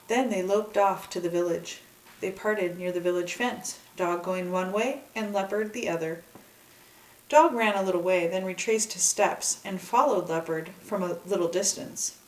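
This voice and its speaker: A woman reads a children's story aloud with expression, in a medium-pitched voice. The room is noticeably echoey and she sounds a little way from the mic. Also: normal speed. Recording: echoey room, distant microphone.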